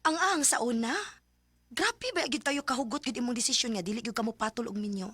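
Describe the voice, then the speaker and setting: An outspoken female friend in a Cebuano radio drama. Her voice is very high and closely recorded with no room sound. She is nosy and openly skeptical. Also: brisk, sharp tone, husky, no room sound. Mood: chatty, teasing. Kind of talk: Filipino radio drama acting.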